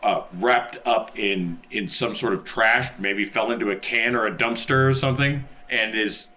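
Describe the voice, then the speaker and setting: A bright, forward male voice sitting a little low in pitch. He is a player in a tabletop game, improvising casually and often doubling back on his words. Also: moderate pace. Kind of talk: casual improvised role-play chat.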